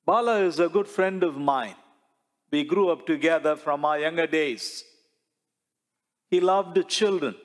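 A middle-aged man preaching a homily as a priest, slow and measured, building his points with repeated rhetorical questions. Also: fairly high pitch. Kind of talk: sermon. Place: large church sanctuary before the altar. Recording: long church reverb.

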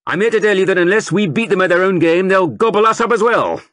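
A blustering, barrel-chested army sergeant major, as a man reads him aloud, booming out a rousing call to arms. His voice swings widely up and down as he harangues the crowd. The sound is close and dry, with no room around it. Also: expressive, fast talker, a bit bright, dry recording. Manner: booming, rousing recruiting speech.

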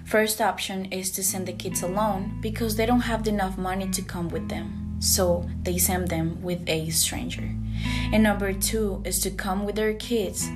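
Student from Costa Rica, reads her script slowly, guitar bed under her. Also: mid-range voice, steady tone. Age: young adult. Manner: slow, earnest reading. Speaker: student podcaster. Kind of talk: scripted reading.

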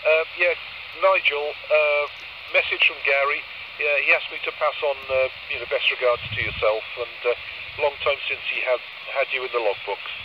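A man's voice: medium pitch, steady tone, normal speed, recorded from a distance. A courteous, hesitant man relaying calls in a casual exchange over CB radio. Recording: noisy, muffled CB radio from speaker.

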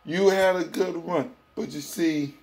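An American man in his thirties giving a mocking, repetitive, drawn-out sports fan taunt, recorded on a phone indoors. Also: on the high side, fairly even intonation, darkish tone. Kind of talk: taunting fan vlog, casual trash talk. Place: small room indoors at home. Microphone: phone at arm's length.

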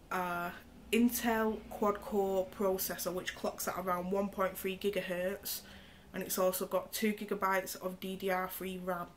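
A woman reviews a gadget in a chatty, explanatory way. She sounds congested and a bit nasal from a cold. Her voice sits low and stays fairly flat. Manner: chatty, explains specs while demonstrating. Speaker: tech reviewer. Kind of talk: casual product review. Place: indoor room, camera on the screen.

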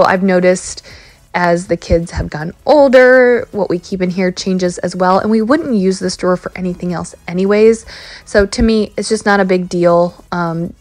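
Chatty, low-voiced mom vlogger. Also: female voice, no room sound. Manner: chatty, relaxed, with many fillers like "um" and "you know". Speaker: home cleaning vlogger. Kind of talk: casual voice-over narration. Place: home kitchen.

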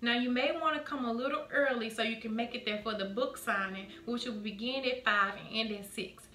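A woman's voice: on the high side, a little fast, bright, forceful tone. A young woman presenting a video announcement, recorded in a home room on a camera mic. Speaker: presenter and cast member promoting a play. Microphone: camera mic a few feet away. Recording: echoey room with background noise.

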